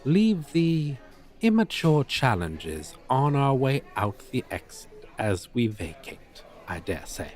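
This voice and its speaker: A man's voice: medium pitch, big pitch swings, takes it slowly, a little mellow. A man playing a haughty, formal dragon teacher in an audio roleplay, with pompous, wordy, old-fashioned phrasing. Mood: flustered, formal. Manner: pompous, verbose, archaic formal diction. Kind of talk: ASMR roleplay, character acting.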